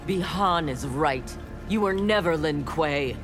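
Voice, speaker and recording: A woman's voice: sits low, slow pace, faint music behind. An actress voicing a cold, haughty armored female ninja cyborg in video game banter. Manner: haughty, menacing.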